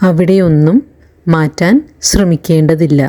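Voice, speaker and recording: A woman guides a relaxation session in a low, very mellow voice. She speaks extremely slowly and soothingly, leaving long pauses between short phrases, as a meditation instructor does. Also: fairly even intonation. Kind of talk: slow guided relaxation narration.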